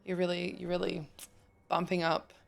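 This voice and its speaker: A woman with a croaky, gravelly voice talks casually through a live game stream, chatting with and thanking her viewers. Her voice sits on the low side, and faint music plays behind her. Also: monotone, normal speed. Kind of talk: casual live-stream chat while gaming.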